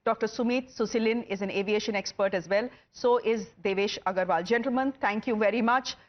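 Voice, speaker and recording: A woman with an Indian accent anchoring a TV news debate, delivering a scripted monologue with emphatic rhetorical questions and dramatic pauses. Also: medium pitch, highs cut off.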